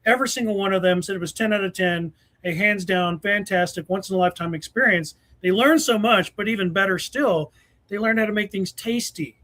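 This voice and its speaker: Guy hosting a casual live show, pretty high voice, gushes a lot. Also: fairly quick, fairly bright tone, poor audio. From United States. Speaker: host of a preparedness show.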